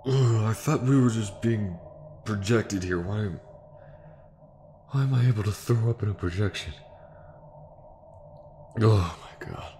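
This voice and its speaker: A blunt, sardonic detective from an audio drama, voiced by a man. His gruff voice is low and unhurried, over faint background noise. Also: mellow, natural voice. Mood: sick, bewildered. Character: gruff, skeptical, foul-mouthed male detective. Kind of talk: audio roleplay voice acting.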